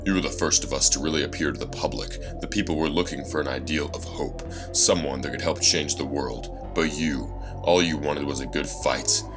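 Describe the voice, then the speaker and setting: A bitter, vengeful vigilante acted by a man speaks in an extremely deep voice, stern and accusing. It is dramatic audio-story acting, laid over loud music. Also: normal speed. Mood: bitter scolding.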